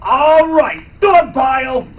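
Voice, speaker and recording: A man playing a brash, cocky, eager young adventurer with loud exclamations in a live manga reading, recorded from a distance. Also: very high-pitched, slow pace, heavy background noise. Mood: fired up.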